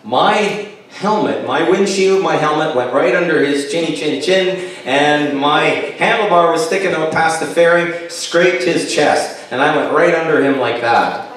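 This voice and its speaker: The sound is very reverberant, picked up from a distance in a chapel. A Canadian man in his late fifties gives a sermon built on personal anecdotes. His voice sits a little high for a man, and his delivery is folksy and joking. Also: unhurried, a bit bright. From Kitchener, Ontario, Canada. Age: late 50s. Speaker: pastor. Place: chapel, on stage at a pulpit. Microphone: stand mic over speakers, recorded by far camera. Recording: very echoey chapel, distant camera pickup.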